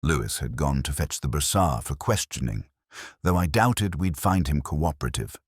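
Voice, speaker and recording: A man playing a melancholy detective who recalls a case in measured, literary first-person mystery narration. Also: extremely low pitch, lively intonation, husky, no echo, clean studio sound, heavily acted. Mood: brooding, reflective. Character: melancholy older male English police detective recalling a case. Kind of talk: scripted first-person mystery narration, radio drama style.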